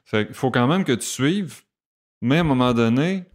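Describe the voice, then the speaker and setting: A man's voice: medium pitch, moderate pace. A man with a Québécois accent, a podcast guest who talks casually and acts out the comments people send him. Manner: animated, acts out quoted comments. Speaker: podcast guest, vegan chef. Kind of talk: casual conversation.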